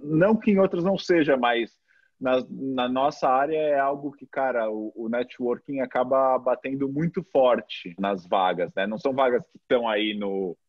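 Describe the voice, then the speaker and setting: A man's voice: medium pitch, moderate pace, dry recording. A man in his thirties with a São Paulo Brazilian accent, chatting casually as an interview host. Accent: São Paulo Brazilian Portuguese. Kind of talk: casual chat. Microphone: video call. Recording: dull, dry video-call audio.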